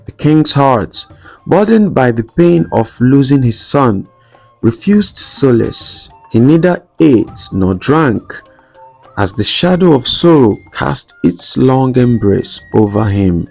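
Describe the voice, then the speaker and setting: Slow, solemn male storyteller over music. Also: medium pitch, sing-song, gravelly, a little mellow, rough recording. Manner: solemn, dramatic, measured phrasing. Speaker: storyteller narrator. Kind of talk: scripted folktale narration. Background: background music.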